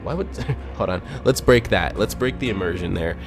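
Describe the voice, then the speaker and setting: A man's voice: deep voice, expressive, normal speed. A man hosting a casual solo podcast about a video game, thinking aloud in a rambling, hesitant way and sounding a bit phlegmy from a recent illness. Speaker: podcast host. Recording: voice over loud background music.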